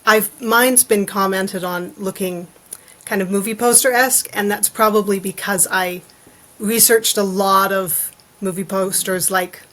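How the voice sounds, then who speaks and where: A woman with a medium-pitched voice talks as an artist guest on a podcast. She explains things in a casual, conversational way, dropping in imagined reactions as quotes. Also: steady tone, a little slow, fairly bright tone.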